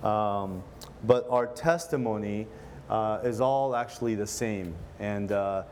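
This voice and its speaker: A man from Lebanon preaching in a church service, praying and then teaching in a relaxed, earnest way, often pausing and restarting his sentences. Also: lowish voice, slight background noise. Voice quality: relaxed, filler-heavy, earnest delivery with frequent self-restarts. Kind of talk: casual conversational sermon with prayer.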